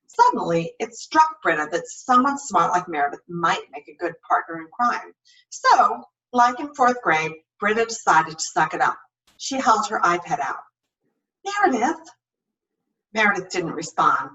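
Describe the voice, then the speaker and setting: Bright, expressive woman reading aloud. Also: mid-range voice, recorded from a distance, lo-fi sound. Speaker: story reader. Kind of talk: expressive scripted narration of a novel.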